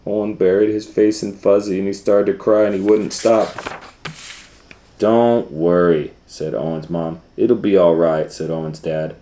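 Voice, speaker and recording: Storytime reader, middle-aged man, deep and unhurried, whispers then booms, roomy sound. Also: a little hiss or hum. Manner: animated, swings from hushed whispers to loud excited voices. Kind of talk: reading a picture book aloud.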